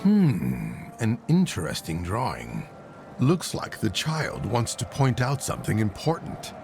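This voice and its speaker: A man voicing a pensive sage sorcerer who muses deliberately aloud as he investigates a mystery in a video game. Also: on the low side, huge pitch swings, gravelly, over music, full character voice. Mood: intrigued. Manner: musing, deliberate.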